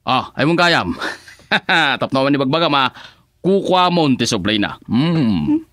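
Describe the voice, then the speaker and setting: A man's voice: sing-song, unhurried, pushed and bright, no room sound, studio quality. A man with an Ilocano accent playing a boastful middle-aged village councilman and husband in a radio comedy, exclaiming and chuckling as he talks. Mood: bossy. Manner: exclaiming, chuckling, swinging pitch.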